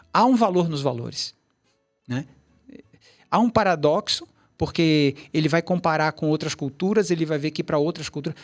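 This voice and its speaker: A man's voice: on the high side, creaky. A middle-aged man, a tai chi teacher, telling long rambling stories in a casual interview, with many restarts and asides. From Brazil, Bahia.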